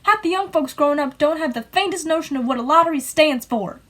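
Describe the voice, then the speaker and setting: A woman's voice: high-pitched, lively intonation, fairly quick. A woman playing a bitter, folksy small-town sister who nags and accuses, in an amateur play acted over video call. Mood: scornful. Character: bitter, defiant small-town woman nagging her brother. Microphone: video call microphone.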